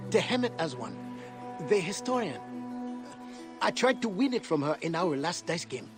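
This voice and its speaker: A nervous, evasive market informant in a video game. His voice is fairly high and swings animatedly as he chatters anxiously. Loud music sits behind him. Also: big pitch swings, moderate pace. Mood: frightened. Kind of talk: dramatic video game acting.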